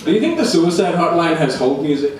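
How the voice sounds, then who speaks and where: A young man delivers stand-up comedy in a fairly high voice, with deadpan timing. He is heard from far off in a very echoey room, with clear, heavy background noise. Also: fairly quick. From immigrated to Australia at age eleven. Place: indoor comedy room before a live audience.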